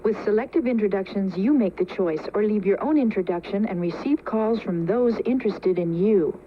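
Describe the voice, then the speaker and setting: Woman doing an 80s hotline ad read, medium pitch, muffled old broadcast sound. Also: normal speed, slight background noise. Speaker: commercial announcer. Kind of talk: 1980s phone chat-line ad read.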